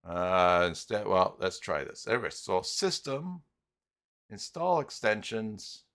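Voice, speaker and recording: A man hosting a casual, unscripted live software tutorial, thinking aloud and muttering to himself as he works through each step. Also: fairly low pitch, sing-song, slow. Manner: thinks aloud, muttering and humming filler syllables between steps. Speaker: live stream host and web tutorial presenter.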